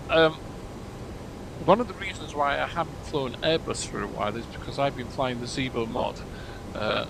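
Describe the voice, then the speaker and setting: An older man from Bolton in Lancashire, chatting casually and rambling with many fillers while streaming a flight simulator. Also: gravelly. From Bolton, Lancashire, England. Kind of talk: casual live-stream commentary. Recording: loud rumble behind the voice.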